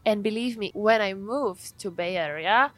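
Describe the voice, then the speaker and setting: Woman, earnest and halting. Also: medium pitch. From Poland. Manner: earnest, searching for words, frequent restarts. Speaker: athlete and trainer. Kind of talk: casual interview answers.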